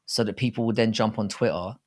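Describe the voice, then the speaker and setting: A man's voice: on the low side, steady tone, fast, darkish tone. Sweary, rambling British man. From Britain. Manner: sweary, blunt, rambling banter. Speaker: live stream co-host. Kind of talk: casual sports chat.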